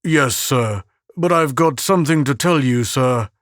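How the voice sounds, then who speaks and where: A man's voice: mid-range voice, a little slow, no echo, clean studio sound, natural voice. A man voicing a weary, harried male spaceship captain. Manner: weary and anxious.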